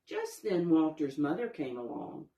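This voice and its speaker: A woman's voice: low voice, big pitch swings, measured pace, mellow. A woman narrating a children's storybook, explaining things to young listeners as she reads. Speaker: storybook reader, teacher. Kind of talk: children's read-aloud narration with asides. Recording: roomy, echoing sound.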